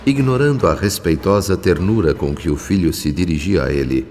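A male actor narrating a radio drama with a Brazilian accent in a measured, solemn, literary manner. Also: low-pitched, long reverb tail, natural voice. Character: solemn male narrator telling a moral family story. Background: soft music.

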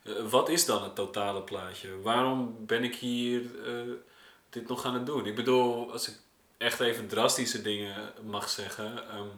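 A man with a lowish voice talks casually and a bit haltingly, doubling back on words. The sound is roomy, with clear echo. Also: steady tone, a bit bright. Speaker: podcast discussion participant. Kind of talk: casual conversation.

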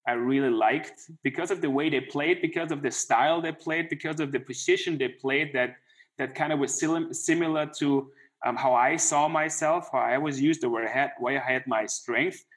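A man's voice: medium pitch, normal speed, fairly bright tone. A middle-aged man, a former hockey player, talking in a casual interview with many restarts and a lot of "you know.". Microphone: remote call.

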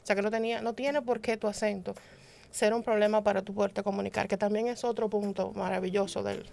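A woman's voice: medium pitch, flat delivery, brisk, vocal fry, darkish tone. A woman from the Dominican Republic on a panel, telling stories with many restarts and tag questions. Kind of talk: conversational panel discussion.

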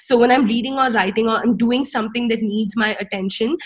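A woman's voice: a little high, fairly quick, husky. A young woman whose first language is Tamil, a singer, giving casual advice in a chatty way, full of fillers and tag questions. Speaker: speaker sharing wellbeing advice.

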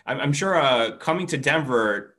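A man hosts an interview podcast with a medium-pitched voice. He speaks in a conversational presenting style, introducing things and putting questions to a guest. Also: expressive, moderate pace, pushed and bright. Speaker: podcast host. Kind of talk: conversational interview show hosting.

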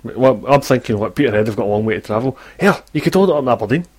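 A Scottish man hosting a football podcast, chatting in a relaxed way and asking his guests questions. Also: mid-range voice, racing. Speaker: podcast host. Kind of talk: casual chat.

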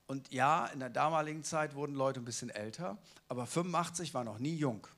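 A man's voice: medium pitch, a little fast, a bit bright. A man giving a casual, colloquial sermon who keeps asking the listeners rhetorical questions. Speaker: preacher.

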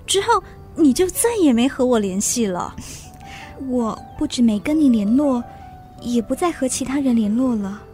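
A woman acting an earnest society woman in a Mandarin literary radio drama, with expressive, theatrical delivery. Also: sits high.

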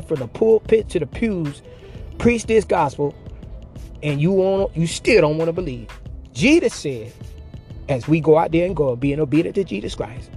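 Impassioned preacher, swooping cries over faint music. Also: male voice, vocal fry, darkish tone. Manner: call-and-response preaching, swooping from low murmurs to high cries. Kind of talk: impassioned sermon.

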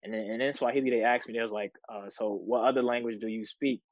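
A young man in his twenties from Chicago, telling a story on a student podcast in a steady, low-key way. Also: fast, no echo. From Chicago, United States. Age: 20s. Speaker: student guest. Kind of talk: casual podcast conversation. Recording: dry, dull lo-fi sound.

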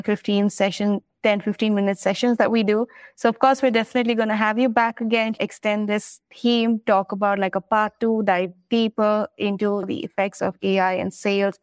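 A woman's voice: mid-range voice, clean tone, no room sound. A woman hosting a business podcast, asking questions and wrapping up in a courteous, polished way. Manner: polished, courteous, wraps up formally. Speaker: podcast host. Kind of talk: interview hosting.

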